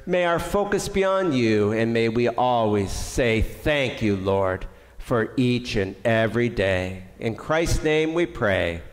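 A man's voice: mid-range voice, lively intonation. A middle-aged man with a Canadian accent, a pastor leading prayer and giving the benediction in a small church, speaking earnestly. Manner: slow, earnest, warm pastoral delivery. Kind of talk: church service closing and benediction. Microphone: far camera. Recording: reverberant church hall.

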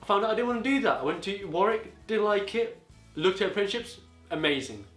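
An eighteen-year-old man giving rambling, casual advice to camera from a living-room sofa, his voice going a bit hoarse. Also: high-pitched, big pitch swings, fast talker, fairly bright tone, reverberant, faint music behind. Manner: rambling, chatty, peppered with "you know". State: voice getting hoarse. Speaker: student vlogger. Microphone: camera on a tripod across the room.

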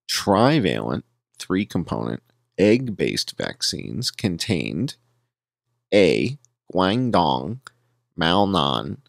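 A man reading a written document aloud as narrator, deliberately, in short, emphatic, measured phrases. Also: low voice, vocal fry, no room sound, studio quality.

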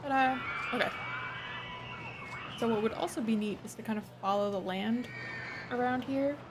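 A woman livestreaming a game, chatting casually, laughing often and swearing freely, her voice a little hoarse. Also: fairly high pitch, moderate pace. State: slightly hoarse. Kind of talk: casual gameplay chat. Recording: noisy home stream recording.

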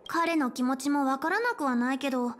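A woman voicing a young, polite and caring space station chief in a Japanese game, speaking in a measured, articulate way. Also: high voice, expressive. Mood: worried, stern. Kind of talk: Japanese game voice acting, explanatory dialogue. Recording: game dialogue over background music.

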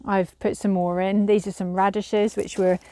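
Woman, 30s, home gardener, casual tour; mellow, low-key voice, little pitch movement, hesitant, recorded outdoors. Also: flat delivery, normal speed, a little hiss or hum. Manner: chatty, hesitant, with frequent fillers. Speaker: gardener showing her garden.

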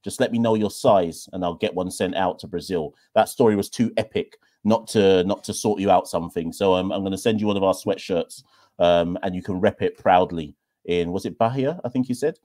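A man's voice: low voice, fairly quick, mellow. Enthusiastic male podcast host. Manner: enthusiastic, emphatic. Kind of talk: live call-in show hosting. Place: small room indoors. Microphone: webcam at desk.

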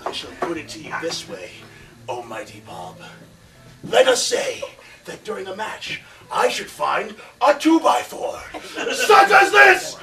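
A man on stage playing a grandiose, violent pro wrestler who booms out pompous proclamations with dramatic pauses and yells in a broad comic style. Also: wildly swooping pitch, vocal fry, over music, exaggerated voice. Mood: menacing. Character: bombastic hulking male pro wrestler, grandiose, violent, childlike. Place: small theatre stage, indoors. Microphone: far camera in the audience. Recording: distant, echoey, noisy theatre recording with audience.

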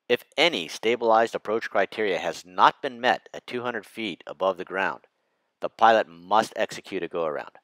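Low-voiced guy reading a flight lesson, dry close mic, steady and precise. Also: no room sound. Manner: measured, precise instructional delivery. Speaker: flight instructor. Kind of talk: scripted narration over slides.

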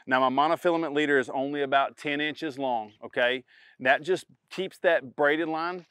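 A middle-aged man with a smooth, resonant voice at an ordinary pitch, speaking with confident emphasis and doubling up his key words. The sound is dry and close despite the outdoor setting, like a lapel mic. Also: fairly bright tone, dry recording. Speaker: pro bass angler. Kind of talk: instructional product demo to camera. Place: outdoors in a spring woodland.

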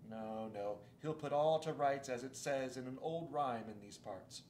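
A man reading aloud and voicing a folksy, earnest male talking beaver, speaking loudly and with emphasis in a roomy, echoey space. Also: medium pitch, measured pace, a little hiss or hum, natural voice. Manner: rustic, loud and emphatic. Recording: roomy echo.

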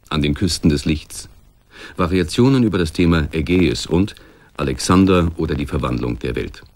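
A man presenting a radio play with a scripted, literary introduction. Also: extremely low pitch.

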